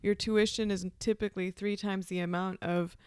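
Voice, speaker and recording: A woman from Pakistan, an actor, telling her story in a casual interview with thoughtful pauses and many ums. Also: mid-range voice, little pitch movement, darkish tone, clear, resonant tone, no room sound, clean studio sound.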